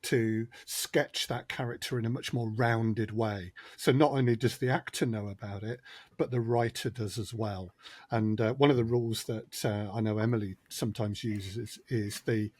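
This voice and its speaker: A man with a husky voice explains things as a podcast guest, recorded dry. His phrasing is measured and a little hesitant, in an easy conversational style. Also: on the low side, steady tone, darkish tone, dry recording. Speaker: guest, audio drama maker.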